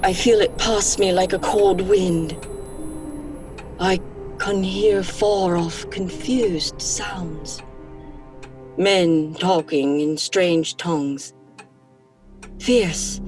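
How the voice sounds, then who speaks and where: An actress playing an anxious young Victorian woman in a gothic horror radio play, speaking in a deliberate, theatrical way. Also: lowish voice, darkish tone. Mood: entranced, uneasy. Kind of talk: dramatic radio-play acting. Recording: dramatic music behind the voice.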